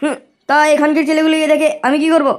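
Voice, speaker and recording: A woman's voice: high voice, little pitch movement, racing, lightly acted. A woman voicing a cheeky young village son in a comic cartoon. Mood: puzzled, cheeky. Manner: cheeky, questioning.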